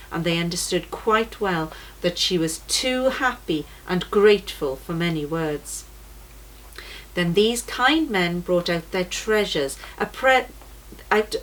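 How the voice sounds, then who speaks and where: Woman reading a children's story, noisy recording. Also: medium pitch. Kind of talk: scripted story read aloud for children. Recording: noticeable background noise.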